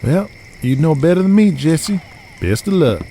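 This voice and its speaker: Man doing a folksy Southern good old boy, pitched high. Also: big pitch swings, moderate pace, warm tone, slight background noise. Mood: conceding. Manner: drawling, florid, colorful country sayings. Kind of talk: audio drama character acting.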